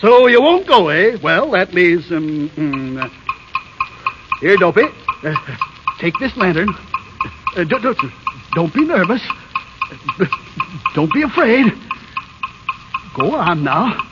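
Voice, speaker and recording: A man's voice: high-pitched, big pitch swings, slow pace, long pauses, full character voice. An actor playing a flustered old dwarf leader, bossy but kindly, who stammers and muddles his words in 1930s radio style. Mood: nervous, coaxing. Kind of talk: 1930s radio drama acting. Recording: muffled scratchy 1930s radio broadcast.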